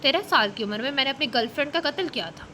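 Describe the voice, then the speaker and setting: Woman doing a young mafia guy, scary calm and mocking, bright higher voice. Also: racing, a little hiss or hum. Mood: calm menace. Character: calm, menacing young male mafia boss. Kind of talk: novel read aloud.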